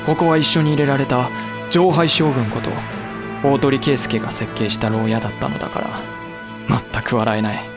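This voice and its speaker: A young actor playing a loyal samurai officer who narrates soberly and pleads with fiery passion. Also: male voice, medium pitch, fairly even intonation, moderate pace, over loud music, lightly acted. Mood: sober narration. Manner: passionate, rising to near shouting when pleading. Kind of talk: audio drama acting and period narration.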